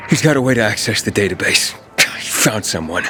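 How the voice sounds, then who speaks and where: A determined young survivor in a video game. His voice is fairly low, his delivery terse and resolute, with music clearly heard behind him. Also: lively intonation, fairly quick, bright, forceful tone. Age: young adult. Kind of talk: video game character dialogue.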